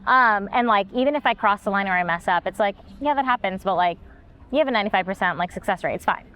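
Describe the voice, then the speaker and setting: A young American woman in casual podcast chat, animated and quick, with lots of "like" and frequent laughs. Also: mid-range voice, smooth. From United States. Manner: fast, animated, heavy use of "like", laughs often. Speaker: guest, social media manager.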